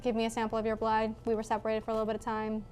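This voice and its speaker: A woman's voice: on the high side, monotone, very fast, a little mellow. A woman playing a tabletop role-playing game, chatting casually in an informal, sweary way. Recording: music playing behind the voice. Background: background music.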